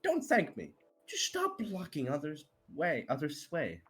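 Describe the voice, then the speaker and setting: A man's voice: fairly high pitch, huge pitch swings, a little slow, fairly bright tone, husky, pitched above the performer's own voice, full character voice. Man voicing a blunt, towering bear guy. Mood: brusque. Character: towering, gruff male bear student.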